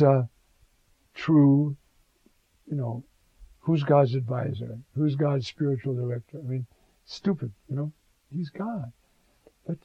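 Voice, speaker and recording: A man's voice: on the high side, husky, dry recording, dull audio. A man preaching on scripture, talking conversationally and rising to fervent emphasis. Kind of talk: sermon on scripture.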